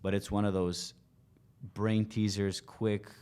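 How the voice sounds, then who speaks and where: A man's voice: fairly low pitch, flat delivery, takes it slowly, mellow, smooth. A man in his twenties, a language coach, explaining his advice in a casual podcast interview, with many "you know" fillers. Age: 20s.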